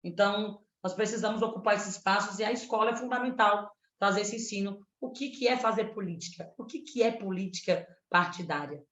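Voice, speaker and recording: A woman's voice: medium pitch, a little fast, few pauses, a bit bright. A woman in her thirties from Pernambuco, a candidate for the state legislature, answering in a panel debate with an earnest, activist way of speaking and frequent tag questions. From Pernambuco, Brazil. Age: 30s. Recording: roomy, dull lo-fi sound.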